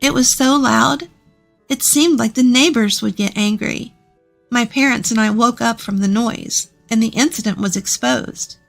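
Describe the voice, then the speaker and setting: High-voiced woman narrating a story. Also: unhurried, creaky, faint music behind. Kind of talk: scripted first-person story narration.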